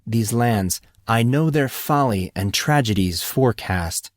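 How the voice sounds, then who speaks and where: A man's voice: medium pitch, animated, a little slow, no room sound, studio quality. A man reading a fantasy audiobook as a scripted narration.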